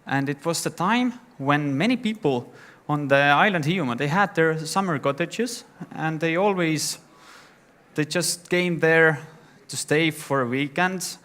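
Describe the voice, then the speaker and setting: A young man from Estonia telling his founder story in a plain, slightly halting way on a conference stage. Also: on the high side, a bit bright. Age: young adult. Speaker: startup founder giving a talk. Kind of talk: conference talk.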